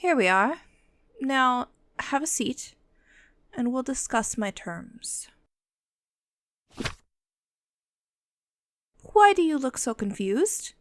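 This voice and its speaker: Woman as a bossy, high-voiced female vampire. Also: big pitch swings, dry recording, full character voice. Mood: businesslike, wry. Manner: commanding, condescending, unhurried, dry-humoured. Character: bossy, protective female vampire, immortal, businesslike and dryly menacing. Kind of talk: scripted ASMR roleplay audio, addressing the listener.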